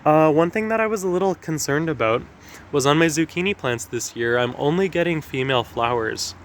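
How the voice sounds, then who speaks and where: A man with a slightly raspy voice from allergies, talking casually and explaining things with lots of fillers as he walks through his garden. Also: a little high, expressive, brisk, slight background noise. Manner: chatty, explanatory, with frequent fillers. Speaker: gardening vlogger. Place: outdoor garden.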